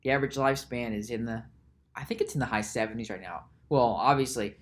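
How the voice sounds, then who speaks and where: A man talks through his thoughts on a solo podcast at an unhurried, deliberate pace. He circles back and restarts his sentences often, repeating words as he works out each point. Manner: musing, slow and halting, with frequent restarts and repeated words; reads search results aloud in a low mutter. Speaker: podcast host.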